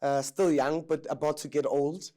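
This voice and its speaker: A South African man around thirty speaks fluently and confidently about himself. His voice is somewhat high but warm and mellow, and his tone stays relaxed and conversational. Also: moderate pace. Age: 30s. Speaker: mayoral candidate.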